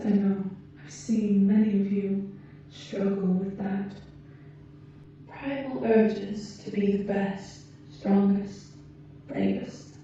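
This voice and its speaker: A woman acting as a solemn, gentle alien protector, very dark and soft-edged in tone. She speaks slowly and with measured pauses, like an ASMR healer lecturing kindly, in a heavily echoing space. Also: medium pitch. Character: gentle, solemn female alien protector healing an injured human. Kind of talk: ASMR roleplay. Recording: muffled, very echoey, distant, hissy background noise.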